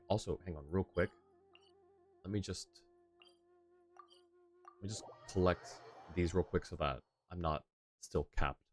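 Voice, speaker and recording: A male game streamer with a low voice talks casually while he plays. He rambles and laughs often. Quiet music runs underneath. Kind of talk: casual gameplay chat.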